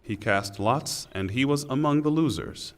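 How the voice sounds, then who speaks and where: A man reading a scripture translation in a solemn, formal, deliberate way, with pauses. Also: medium pitch, animated. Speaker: translation reader. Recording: long hall reverb.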